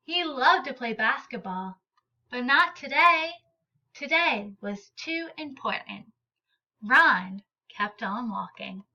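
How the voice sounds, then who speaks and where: A woman reading a children's picture book aloud in an expressive, deliberate and emphatic way. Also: a little high, lo-fi sound. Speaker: read-aloud storyteller.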